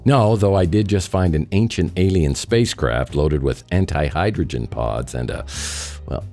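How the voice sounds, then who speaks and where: An actor playing a wisecracking, self-deprecating private detective in a noir radio drama, with a deadpan delivery. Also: male voice, sits low, normal speed, faint music behind. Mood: casual, angling.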